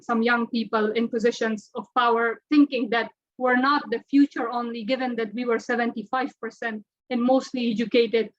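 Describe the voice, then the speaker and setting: A woman's voice: on the high side, fairly bright tone. A woman in her twenties from Afghanistan, answering earnestly on a panel, often restarting her sentences. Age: 20s. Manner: earnest, with frequent restarts and stammers. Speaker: panelist, former deputy health minister. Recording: muffled lo-fi audio.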